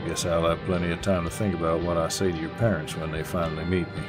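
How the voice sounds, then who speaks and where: Guy playing a laid back southern redneck boyfriend, real deep voice, slow gentlemanly drawl, teasing audio rp w music behind. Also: little pitch movement, close to an everyday voice. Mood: playful. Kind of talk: intimate boyfriend audio roleplay, acted to the listener.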